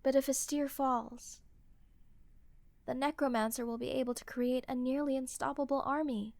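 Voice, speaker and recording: A woman's voice: high-pitched, fairly even intonation, normal speed, gravelly, darkish tone, no room sound. A woman playing a proud, earnest young queen in a fantasy audio roleplay, speaking formally and a little defensively.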